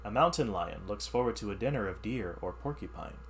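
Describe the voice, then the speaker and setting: A man reading a science ebook aloud as a teacher, pausing to explain the text in simpler words. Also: lowish voice, animated, a little hiss or hum. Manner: reads text then explains in plain words. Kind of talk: read-aloud lesson with asides.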